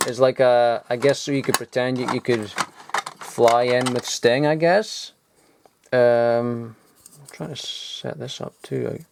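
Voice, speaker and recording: A man's voice: medium pitch, fairly even intonation, slow pace, gravelly, background noise. A man rambling casually as he digs through old toys, mumbling asides and swearing now and then. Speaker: toy collector vlogger. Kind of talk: casual off-the-cuff commentary while sorting toys. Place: cluttered loft.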